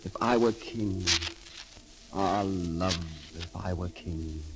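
An actor playing a roguish, glib young poet-thief in a theatrical, flowery 1930s radio drama manner. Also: male voice, low-pitched, slow pace, noisy background. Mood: ardent, romantic. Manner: theatrical, flowery, grandly declaiming. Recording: old 1930s radio broadcast, music behind.

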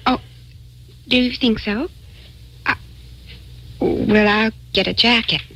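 An actress playing a secretive, skittish young woman with an evasive, halting manner in a radio drama. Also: fairly high pitch, fairly bright tone, heavily acted, low-quality recording. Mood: hesitant.

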